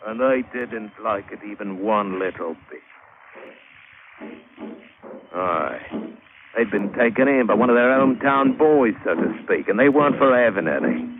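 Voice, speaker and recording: A man's voice: lowish voice, expressive, normal speed, over music. An actor playing a roguish, crafty working-class British adventurer who spins his yarn colloquially in an old radio drama. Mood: excited, gleeful. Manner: colloquial, wry storytelling with folksy grammar. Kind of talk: old-time radio drama narration and dialogue. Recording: tinny, hissy old radio recording.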